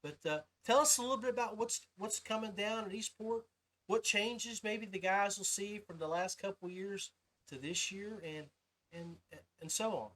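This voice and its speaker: A man's voice: sits high, normal speed, a bit bright, poor audio. A man hosting a podcast interview in a casual, folksy and informal way. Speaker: podcast host.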